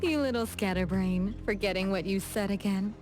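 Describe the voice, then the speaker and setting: A woman's voice: medium pitch, moderate pace, natural voice. A woman voicing a poised, gracious young lady in a video game, composed and polite with a lightly teasing touch. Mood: calm, teasing. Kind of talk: game dialogue acting, storytelling. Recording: music behind the voice.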